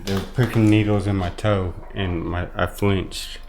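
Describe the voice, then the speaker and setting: A man giving earnest personal testimony as an interview guest, speaking haltingly with some repeated words. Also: deep voice, level tone, creaky, a little mellow, slight background noise. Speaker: interview guest, brain injury survivor.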